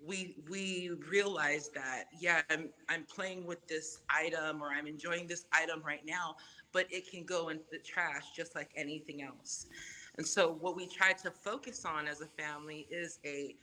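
A woman raised in Samoa, Samoan her first language, chatting as a podcast guest in a lively, emphatic way. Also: low-pitched, unhurried, rough recording. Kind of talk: casual podcast chat.

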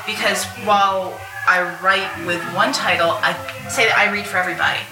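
A woman's voice: medium pitch, steady tone, brisk, over music. A woman guest on an outdoor panel, talking casually and acting out bits of her stories. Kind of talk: casual live panel chat. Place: outdoor patio at a convention. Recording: roomy, distant outdoor live panel recording.

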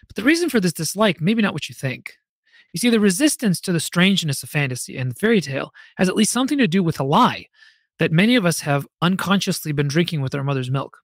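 A man with a somewhat high-set voice hosts a reflective solo podcast. He sounds literate and opinionated, leaning on key words and pausing deliberately for emphasis. The sound is dry, with very little room in it. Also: lively intonation, no echo. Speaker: podcast host.

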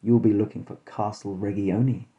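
A man's voice: fairly low pitch, fairly even intonation, moderate pace, lo-fi sound, close to an everyday voice. A man voicing a leering tavern barkeep in a comic horror tale, with archaic, portentous phrasing. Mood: leering, ominous. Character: leering tavern barkeep, ominous and old-fashioned in speech.

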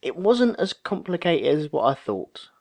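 A man's voice: sits high, sing-song, moderate pace, darkish tone. A man in his thirties chatting casually and telling anecdotes, acting out the remarks he quotes. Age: 30s. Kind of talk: casual chat, storytelling.

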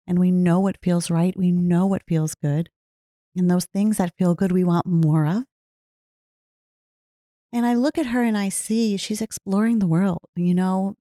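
A woman speaking in an earnest, heartfelt way, reflecting slowly on her own life. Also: fairly low pitch, dark tone, no room sound, clean studio sound. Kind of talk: reflective personal talk.

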